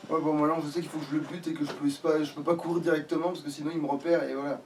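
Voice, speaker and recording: A man with a somewhat high voice comments casually on his video game. He grumbles with sarcasm and swears, heard a little away from the mic over faint background noise. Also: little pitch movement, racing, a little mellow, far from the mic. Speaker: let's player.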